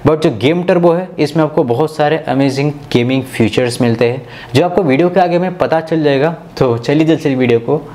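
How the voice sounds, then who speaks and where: A man's voice: fairly high pitch, brisk, dark tone, a little hiss or hum. A man from India, narrating a tech tutorial in an instructional, step-by-step way. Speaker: tech video host.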